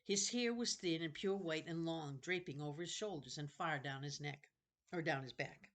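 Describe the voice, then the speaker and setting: A woman with a low voice reads a children's novel aloud. Her narration is unhurried. She now and then goes back over a fumbled phrase. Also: a bit bright. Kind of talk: storybook narration read aloud.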